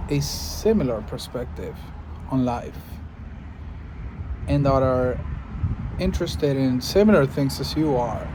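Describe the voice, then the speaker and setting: A man's voice: mid-range voice, warm tone, slight background noise. A man, a Spanish speaker, musing thoughtfully in a casual monologue to camera and often searching for his words. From Venezuela. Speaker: podcaster and video creator.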